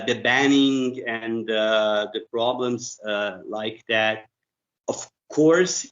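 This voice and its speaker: A man speaks slowly as an interview guest, pausing often and filling gaps as he thinks. His voice comes through a dull, lo-fi recording. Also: medium pitch, a bit bright. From Iran. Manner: thoughtful, halting with frequent fillers. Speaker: guest expert. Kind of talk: interview conversation in English.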